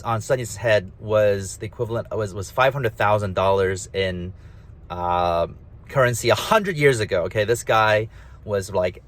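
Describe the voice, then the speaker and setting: A man's voice: fairly low pitch, a bit bright. A man hosting a solo talk, rambling casually with a nasal, slightly mumbly voice, lots of fillers and emphatic asides. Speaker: host.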